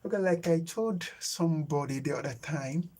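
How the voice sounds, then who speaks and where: A man's voice: fairly high pitch, normal speed, darkish tone. A young man with a Nigerian English accent, a native Yoruba speaker, chatting casually with viewers on a livestream and searching for his words with lots of fillers. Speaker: livestream host. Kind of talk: casual live chat with viewers.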